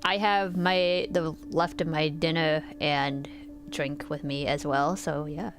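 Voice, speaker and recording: Chatty woman streamer, lowish voice. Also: fairly even intonation, slow pace. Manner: chatty, informal asides. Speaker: live streamer. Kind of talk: casual game stream chat with reading aloud. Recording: game music behind the voice.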